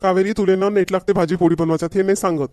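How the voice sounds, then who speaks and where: A man's voice: sits high, fairly even intonation, very fast, a little mellow, no room sound, natural voice. A man playing a grumbling, demanding village husband in a comedy cartoon, with a Varhadi Marathi accent and a nagging, repetitive manner. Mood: indignant, bossy. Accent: Varhadi Marathi from Vidarbha.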